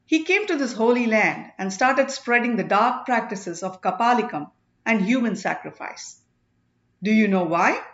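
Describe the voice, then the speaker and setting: Woman voicing a stern, high-pitched commanding male elder. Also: animated, a bit bright, reverberant, lo-fi sound. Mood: stern, accusing. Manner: emphatic, declamatory, deliberate short phrases.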